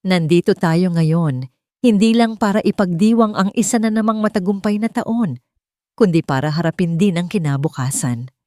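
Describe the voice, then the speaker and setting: Woman reading as a dignified, calm elderly matriarch. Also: lowish voice, normal speed, dry recording, studio quality, natural voice. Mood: calm, solemn. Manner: calm, clear, formal speech-making. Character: dignified elderly matriarch and company chairwoman, calm but firm.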